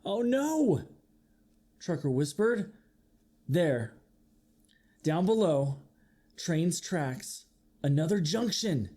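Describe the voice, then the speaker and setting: A man's voice: fairly high pitch, slow pace, long pauses. A man reading a children's picture book aloud as a teacher would, expressively, putting questions to his young listeners. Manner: expressive, acts out sound effects, asks listeners questions. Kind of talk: animated children's storybook read-aloud.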